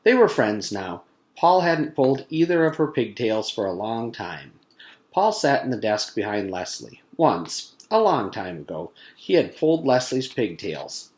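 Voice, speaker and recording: Animated man reading a storybook aloud. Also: mid-range voice, unhurried.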